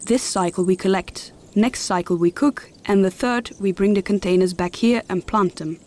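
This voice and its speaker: An actress playing a kindly, instructive mentor in a fantasy audio drama, explaining things formally and patiently. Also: female voice, medium pitch, normal speed, a little mellow, natural voice. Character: kindly, instructive female mentor of a fantasy light-gathering trade. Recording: clearly noisy background of clicks and horse-like sounds.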